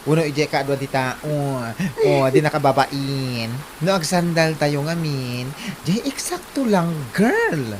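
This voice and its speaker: A woman's voice: deep voice, very animated, slow pace, slight background noise, heavily acted. A performer, heard as a woman, acting a mocking, scolding relative in an Ilocano comedy drama, taunting and exclamatory with an Ilocano accent.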